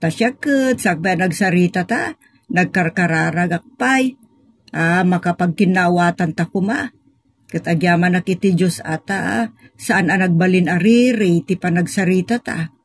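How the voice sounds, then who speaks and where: Woman acting a husky, patient older wife. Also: fairly low pitch, normal speed, dry recording. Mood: earnest, reassuring. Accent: Ilocano. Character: patient, devout older wife counseling her troubled husband. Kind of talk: Filipino audio drama acting.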